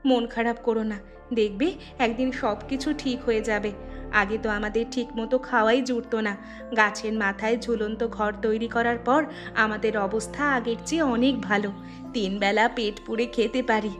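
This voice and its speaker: Woman as a caring, firm village mother, highish voice. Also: fairly even intonation, a little fast, over music, close to an everyday voice. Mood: soothing. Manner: reassuring, scolding when cross. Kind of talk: Bangla moral-story cartoon dubbing.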